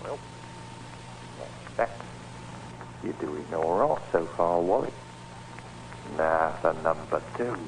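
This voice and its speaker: A man's voice: deep voice, animated, takes it slowly, long pauses, exaggerated voice. An actor playing a scheming working-class London Cockney handyman and murderer in an old-time radio drama, muttering and slyly deferential. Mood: coldly satisfied. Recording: noisy vintage radio recording.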